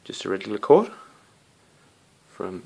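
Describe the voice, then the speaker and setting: A man with a fairly low voice talks very slowly through a casual hands-on gadget review. He rambles and slips in apologetic asides, with a playful, excitable streak. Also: gravelly, slight background noise. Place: living room with wooden floor and rug.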